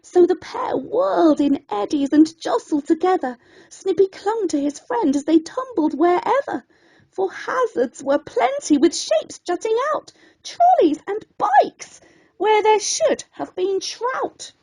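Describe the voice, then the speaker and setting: A woman storyteller reading a rhyming children's bedtime story in an expressive, theatrical and deliberate way. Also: extremely high pitch, creaky, poor audio.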